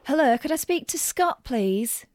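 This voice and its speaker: A woman's voice: sits high, expressive, a little slow, a little mellow, slight background noise. A woman voicing a chatty, muddled middle-aged Yorkshire tourist board woman on a prank call, rambling and blunt. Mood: puzzled. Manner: rambling, nosy, confused and blunt.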